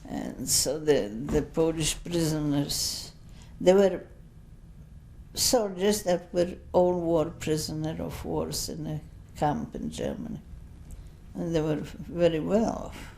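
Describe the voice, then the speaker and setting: An older woman in her seventies tells her story in English that is not her first language, wandering through asides. Her voice sits low and carries a gravelly fry. She talks at a slow, unhurried pace. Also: animated, dark tone, a little hiss or hum. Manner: slow, rambling, anecdotal with many asides; speaks English as a second language. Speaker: interviewee giving oral history testimony. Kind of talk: unscripted recollection.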